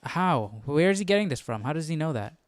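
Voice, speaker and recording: A man with a smooth, clean voice on the low side, recorded close and dry. He hosts a Bible study stream, talking to himself in an informal, inquisitive way and reading passages aloud as he goes. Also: very animated, a little fast, dry recording. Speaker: Bible study livestream host. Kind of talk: casual livestream study, thinking aloud and reading articles aloud.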